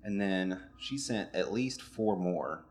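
A man's voice: low voice, expressive, slow pace. A man, co-hosting a podcast and telling a dating story in a rambling, laid-back way. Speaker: podcast co-host. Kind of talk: casual storytelling chat.

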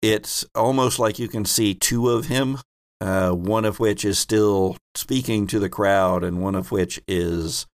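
A man's voice: fairly low pitch, steady tone, measured pace, no room sound. A man narrating an improvised tabletop role-playing game as its game master and host.

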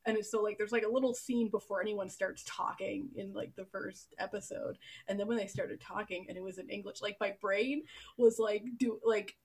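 A woman hosting a casual podcast, talking in a gravelly, husky voice. She keeps things jokey and chatty, with lots of fillers. Her pitch sits mid-range. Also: steady tone, moderate pace. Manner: joking, chatty, many fillers. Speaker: podcast host.

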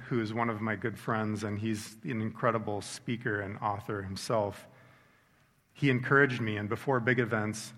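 A middle-aged man with a fairly low voice shares a heartfelt faith testimony. He is measured and reflective, and he pauses and slows down to stress his points. His words ring out with a long hall reverb. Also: fairly bright tone. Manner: sincere, measured, with slow emphatic pauses. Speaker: speaker giving a personal faith testimony. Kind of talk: earnest reflective testimony talk.

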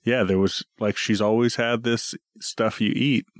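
A podcast co-host, a man with a somewhat low voice in a dry recording. He talks in loose, casual banter with a jokey, self-mocking streak. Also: steady tone, measured pace, dry recording.

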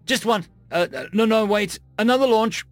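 A man's voice: sits high, normal speed, faint music behind, voice pushed up, natural voice. A man voicing a female alien sensor officer who reports in a tight, fearful voice. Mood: alarmed.

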